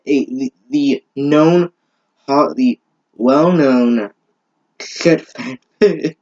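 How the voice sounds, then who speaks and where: A man's voice: medium pitch, extremely slow, a little mellow, highs cut off. A man giving a mocking reading of fan fiction, breaking in with exasperated, sarcastic, sweary asides. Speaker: host of a bad-fanfiction reading series.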